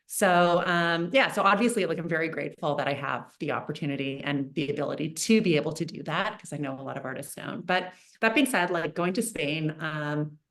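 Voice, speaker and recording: Chatty low-voiced woman, casual interview. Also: brisk, hardly any pauses, fairly bright tone. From Canada. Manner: chatty, many fillers and self-restarts. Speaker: guest artist.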